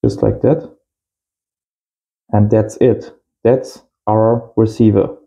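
Man in his thirties, slow pace, very dark gravelly voice, casual tutorial host, short phrases. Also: on the low side, flat delivery, low-quality recording. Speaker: host of amateur radio channel. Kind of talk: casual hands-on tutorial narration. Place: small indoor home workshop.

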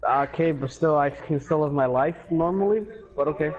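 A man's voice: on the high side, normal speed, narrow, tinny audio. A young man chatting casually with friends while playing a video game. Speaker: gamer in a friend group. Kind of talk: casual chat while gaming. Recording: noisy game chat with clicks.

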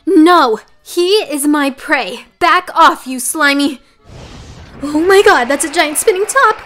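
A woman's voice: high voice, lively intonation, slow, fairly bright tone, soft background music, full character voice. A woman voicing a vengeful, mocking teenage villainess in a theatrical comic dub. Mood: angry. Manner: taunting, theatrical. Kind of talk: dramatic anime-like acting in a comic dub.

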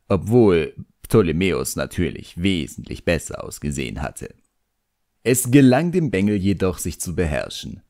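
A man's voice: sits low, a little mellow, no room sound, clean studio sound. A man with a standard German accent reading scripted first-person fantasy narration expressively as an audiobook narrator.

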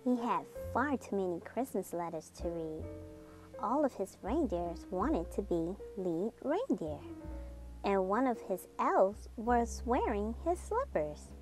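A woman's voice: mid-range voice, sing-song, takes it slowly, darkish tone. A woman reading a children's picture book aloud expressively. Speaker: children's book reader, channel host. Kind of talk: expressive storybook read-aloud. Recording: music behind the voice.

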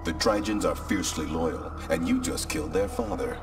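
A man's voice: sits low, normal speed, recorded from a distance, over music, lightly acted. An actor playing a smug, cold and calculating male traitor in a video game cutscene.

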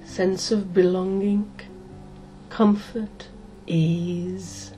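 A middle-aged woman hypnotist leading a guided meditation in a soothing, deliberate way, pausing between short phrases. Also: on the low side, flat delivery, dark tone, soft background music.

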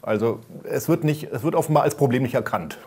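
A man's voice: mid-range voice, expressive, quick pace, a little mellow, breathy edge. A man speaking as a guest on a panel, explaining his points in a matter-of-fact way. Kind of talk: discussion panel.

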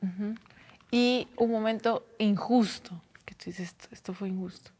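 A woman's voice: medium pitch, normal speed, darkish tone, husky. A woman, hosting a conversational interview. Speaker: interviewer, host. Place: large empty auditorium stage.

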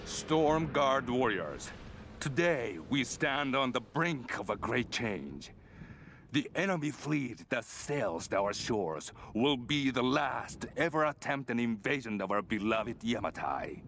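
A man's voice: medium pitch, big pitch swings, takes it slowly, a bit bright, slight background noise, exaggerated voice. An actor voicing a commanding ancient army general in a video game, solemnly declaiming to his warriors. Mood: solemn, rousing. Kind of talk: dramatic oration.

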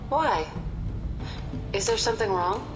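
An actress playing a confident, teasing young reporter in a video game, with a wry, playful manner. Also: female voice, mid-range voice, animated, a little slow, distant mic, over loud music, lightly acted. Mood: teasing, curious. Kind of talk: video game cutscene acting. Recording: reverberant, away from the mic.

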